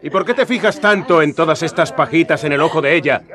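An actor playing a bold, sardonic Galilean prophet in a colloquial radio drama, speaking pointedly and tauntingly. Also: male voice, fairly high pitch, quick pace, a bit bright, natural voice. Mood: sardonic, challenging. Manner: pointed, taunting rhetorical questions. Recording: crowd noise behind the voice.

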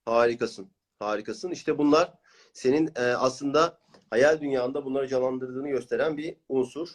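A man's voice: medium pitch, moderate pace. A man working as a reading coach and host, interviewing clients and explaining his course in an encouraging manner. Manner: encouraging, praising.

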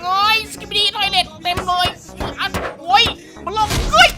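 A performer putting on an excitable, easily panicked cartoon game character with a squeaky voice, in an improvised comedic dub full of exclamations and crude slang. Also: moderate pace. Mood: excited. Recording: loud music over the voice.

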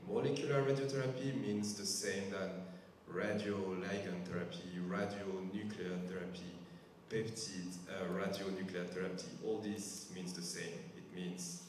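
Low-voiced French man in a big hall. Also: steady tone, darkish tone, slight background noise. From France. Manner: slow, careful explanations with frequent hesitations. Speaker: physician speaker. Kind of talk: medical talk to a patient group. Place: large church hall with a stage. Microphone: handheld microphone. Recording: echoey hall from far off.

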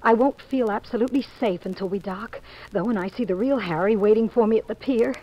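A woman's voice: mid-range voice, a little fast, natural voice. An actress playing an anxious, polite married woman in danger in a mid-century radio mystery, genteel and fretful. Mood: grateful, uneasy. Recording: dull old radio recording.

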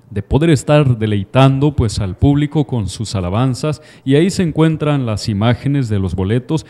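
A man's voice: mid-range voice, warm tone. A young man presenting live religious news into a handheld microphone, formal and devout. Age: young adult. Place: TV studio.

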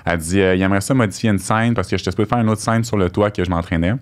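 Fast-talking Québécois man, low voice. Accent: Québécois French. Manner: animated storyteller, acts out quoted dialogue. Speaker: podcast guest. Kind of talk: casual storytelling. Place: podcast studio. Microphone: studio mic on boom arm.

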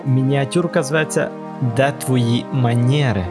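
Male vlogger, casual voice-over with wry asides; mid-range voice over a clear music bed. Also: mid-range voice, a little slow. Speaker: travel vlogger.

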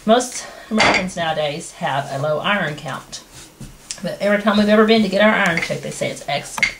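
A woman in her thirties hosting a cooking show, explaining each step in a plain, homey, unhurried way in a rustic wooden kitchen. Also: fairly low pitch, gravelly. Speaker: home cooking show host. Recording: noisy home video with kitchen clatter.

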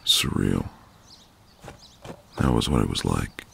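A man playing a flirtatious, easygoing retired marine neighbour in an intimate audio roleplay, with a deep, gravelly voice and a casual drawl. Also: animated, takes it slowly, fairly bright tone, a little hiss or hum, full character voice. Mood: somber, reflective.

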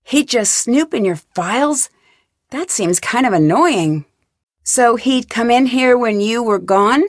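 A woman's voice: on the high side, animated, takes it slowly, no room sound, clean studio sound. An actress playing a young female detective in a video game, asking polite, pointed, probing questions with composure. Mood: inquisitive.

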